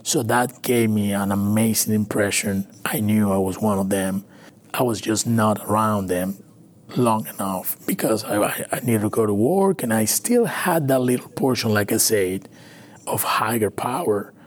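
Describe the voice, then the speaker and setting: A man from Venezuela, a native Spanish speaker with a Latin American accent, telling his recovery story haltingly in an interview. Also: lowish voice. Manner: halting, with frequent restarts and fillers. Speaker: interview guest. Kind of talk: personal recovery story, conversational.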